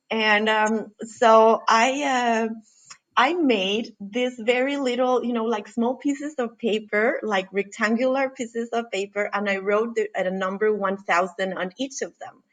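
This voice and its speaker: A woman from Costa Rica, in her forties, speaks as a guest in a relaxed conversation. She pauses, restarts her sentences and fills gaps with "um" and "uh". She laughs often as she talks. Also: medium pitch. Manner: hesitant, many fillers and restarts; laughs often while talking. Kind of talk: casual interview conversation.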